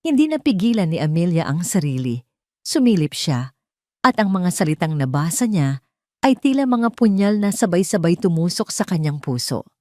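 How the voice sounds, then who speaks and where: Low-voiced woman narrating romantic drama. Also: sing-song, normal speed, warm tone, no room sound, clean studio sound. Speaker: storyteller, narrator.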